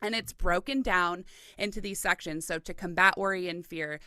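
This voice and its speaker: A woman's voice: fairly low pitch, moderate pace, sharp tone, clean tone, dry recording. A woman hosting a podcast, chatting in an enthusiastic, affirming way. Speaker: podcast host. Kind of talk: casual interview conversation.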